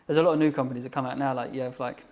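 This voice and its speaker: A man in his thirties talks quickly, on a narrow, level pitch. He rambles in a relaxed guest chat and leans heavily on fillers, pressing ahead fast with one thought after another. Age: 30s. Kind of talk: casual chat.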